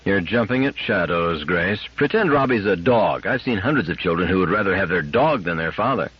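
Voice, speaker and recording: Gruff, stubborn family man, acted by a male reader; husky, lowish voice, dull lo-fi recording. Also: lively intonation, brisk. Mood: irritated, firm. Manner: gruff, firm, exasperated. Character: stubborn, irritable husband and father, secretly soft. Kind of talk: audiobook character acting. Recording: dull lo-fi radio-like audio.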